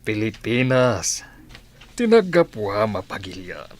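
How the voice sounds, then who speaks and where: An older father and boss, acted by a man, deliberate and authoritative with a teasing edge. His delivery swings widely in pitch, in an audio drama style. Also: big pitch swings, slight background noise. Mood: commanding.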